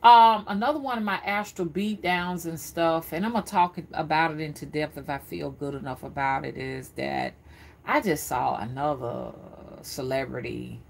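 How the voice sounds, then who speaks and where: A woman in her fifties with a husky, slightly low voice. She rambles casually to her live viewers, stammering and repeating words as she thinks aloud. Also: moderate pace. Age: 50s. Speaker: spiritual reader streaming live. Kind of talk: casual live-stream talk.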